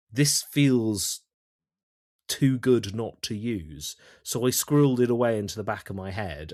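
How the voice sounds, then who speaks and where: A man chatting as an interview guest about his creative work, thinking aloud haltingly and often repeating words. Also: lowish voice, darkish tone, dry recording, studio quality. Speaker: audio drama writer-director, interview guest. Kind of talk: casual interview chat.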